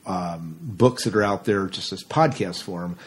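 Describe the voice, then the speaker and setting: A man's voice: sits low, measured pace, a little hiss or hum. A man co-hosting a podcast, asking questions in a casual, rambling way. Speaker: podcast co-host. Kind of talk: casual interview chat.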